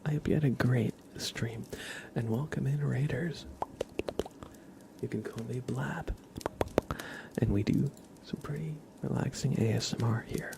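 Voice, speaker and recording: A man hosting an ASMR livestream in a soft, hushed whisper and low murmur, gently and unhurriedly talking to his viewers. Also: measured pace, creaky, long pauses, noisy background. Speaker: livestream host.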